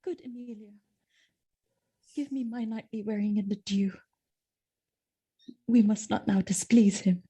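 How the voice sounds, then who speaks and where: A woman playing a loyal, innocent, distressed noble wife in a Shakespearean audio drama, speaking in an earnest, pleading, measured way. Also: medium pitch, fairly even intonation, mellow, breathy edge. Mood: sad resignation.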